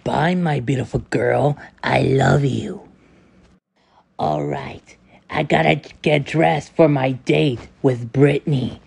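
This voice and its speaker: A man voicing a hot-tempered, clingy young male frog in a homemade skit, whiny and quick to threaten. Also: mid-range voice, expressive, gravelly, a little mellow, breathy edge, full character voice. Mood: lovestruck.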